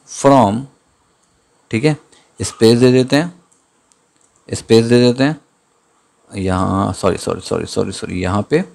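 Low-voiced man teaching code. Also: gravelly, a little mellow. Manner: thinks aloud while typing, slows down to read out code. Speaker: programming tutor. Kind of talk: screen-recorded coding lesson. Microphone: voice-over on screen capture.

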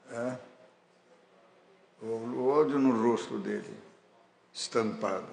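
Brazilian guy preaching, lowish voice, roomy church echo, faint hum behind. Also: vocal fry. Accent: Brazilian Portuguese. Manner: fervent, rising to emphatic declarations. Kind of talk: sermon. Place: church hall. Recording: echoey church hall with faint ambience.

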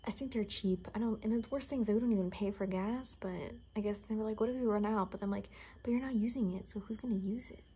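A young woman speaking casually in a hushed, half-whispered ASMR roleplay style, close to the mic in a room. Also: mid-range voice, fast, slightly rough. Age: young adult. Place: small furnished room indoors. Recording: noticeable background noise.

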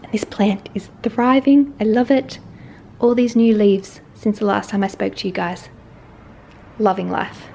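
A young woman in her twenties with an Australian accent, slightly hoarse, chatting scatterbrained and self-mocking as she films an apartment tour on a handheld camera. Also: mid-range voice, fairly even intonation, a little slow, mellow, a little hiss or hum. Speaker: lifestyle vlogger. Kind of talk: casual apartment tour vlog. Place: small apartment indoors and balcony. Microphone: handheld camera at arm's length.

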